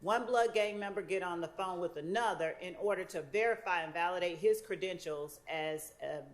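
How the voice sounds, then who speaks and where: A woman speaking as a prosecutor, making a formal, deliberate and somewhat halting argument to the court. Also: lowish voice.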